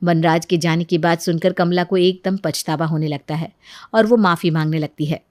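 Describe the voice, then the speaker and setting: A woman's voice: lowish voice, steady tone, moderate pace, no room sound, studio quality. A woman reading scripted narration for a moral family story.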